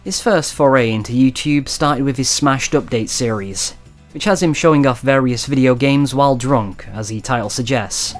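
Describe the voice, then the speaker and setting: A man's voice: medium pitch, normal speed, faint music behind. A man narrating a scripted video essay in a wry, conversational storytelling manner. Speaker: documentary narrator.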